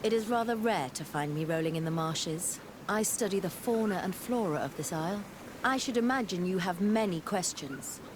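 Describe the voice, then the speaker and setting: A woman's voice: fairly low pitch, animated, normal speed, close to an everyday voice. A woman playing a guarded, self-assured scholar in a video game, sardonic and articulate with formal phrasing. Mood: wry. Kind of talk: video game cutscene acting. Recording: clear ambient noise behind.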